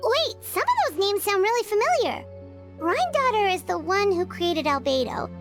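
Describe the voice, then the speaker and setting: A tiny fairy sidekick chirps in an extremely high, childlike voice with very lively intonation. She is perky, excitable and full of questions, in cartoonish video game style, with faint music behind. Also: moderate pace, exaggerated voice. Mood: curious, eager. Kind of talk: cartoonish fantasy game dialogue.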